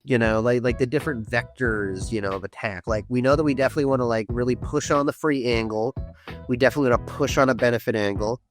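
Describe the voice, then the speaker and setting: Relaxed man explaining ad strategy. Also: medium pitch, fast talker, faint music behind. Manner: relaxed, explanatory, uses y'all. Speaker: paid traffic consultant. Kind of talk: casual business conversation.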